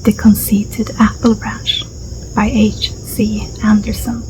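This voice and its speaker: Soft, half-whispering Swedish-accented woman, slow. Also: mid-range voice, little pitch movement. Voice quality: soft, breathy, often dropping into a whisper. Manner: gentle, unhurried, soothing. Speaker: ASMR storyteller and host. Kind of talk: soft-spoken ASMR story reading. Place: outdoors in a garden. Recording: clear outdoor ambience with insect noise. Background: crickets and insects, garden ambience.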